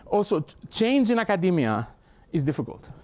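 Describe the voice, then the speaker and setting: Greek-accented professor, halting and earnest. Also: male voice, sits high, sing-song, darkish tone. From Greece. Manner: earnest, halting, with frequent restarts and repeated words. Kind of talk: academic talk. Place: lecture hall.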